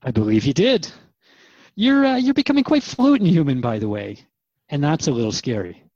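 Radio host male actor, drama: searching, conversational, a little hesitant, slightly high voice, close dry recording. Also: very animated, normal speed, a little mellow, no echo, highs cut off. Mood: amused, teasing. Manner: thoughtful, conversational, hesitant phrasing. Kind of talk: radio drama interview dialogue.

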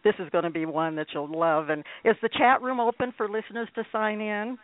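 A man hosting a radio interview show, enthusiastic and warm-hearted in his praise, often stumbling and restarting his questions. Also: medium pitch, fairly even intonation, a little fast. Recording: tinny lo-fi line.

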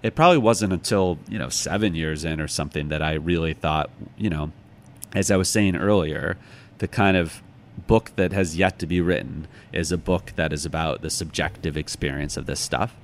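An American man with a low-pitched voice speaks as a guest in conversation. He is reflective and casual, musing as he goes. There is clear background noise on the recording. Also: normal speed. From United States, Chicago. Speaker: interview guest, author.

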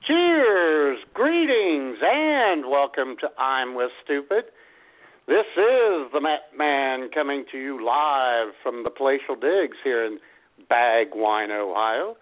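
A man's voice: mid-range voice, sing-song, slow. An American man co-hosting a radio show with casual, irreverent comedic banter and frequent exclamations. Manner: irreverent, mildly profane, exclamatory. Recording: tinny band-limited radio sound.

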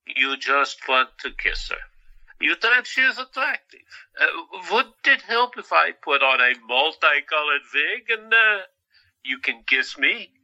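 An actor playing a playful, wryly melancholy male asylum patient in an audio drama, teasing with halting pauses. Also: fairly high pitch, sing-song, pushed and bright, highs cut off, heavily acted.

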